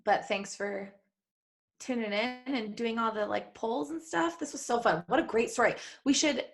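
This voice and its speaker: A woman's voice: a little high, moderate pace, vocal fry. Giggly woman chatting on a live podcast. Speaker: podcast co-host. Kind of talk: casual, joking live podcast chat.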